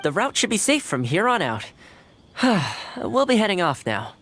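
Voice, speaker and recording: A man's voice: sits high, wildly swooping pitch, unhurried, fairly bright tone, slight background noise, full character voice. An actor playing a friendly, helpful young man in a video game, upbeat and conversational.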